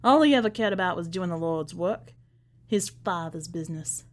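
A woman's voice: on the low side, lively intonation, moderate pace, close to an everyday voice. A woman voicing a rambling, devout, evasive church woman with folksy speech in an audiobook story. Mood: chatty, fond. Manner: chatty, folksy Southern-style church talk. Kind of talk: acted storybook dialogue.

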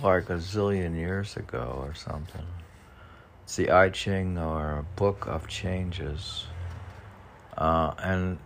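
A man talking casually and haltingly, musing and muttering to himself as he reads aloud. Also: low-pitched, gravelly, a little hiss or hum. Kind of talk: casual rambling talk while reading poems aloud.

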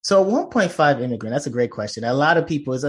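A man's voice: mid-range voice, expressive, quick pace, husky. A man from Nigeria, a professor guest in a conversational interview, explaining earnestly with many fillers and restarts.

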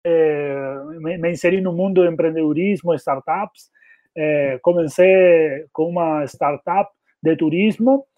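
A man's voice: on the high side, a little slow, dry recording. A man from Argentina with an Argentine accent, a native Spanish speaker, talking casually as an interview guest over a narrow-band connection. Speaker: podcast guest, community marketing specialist.

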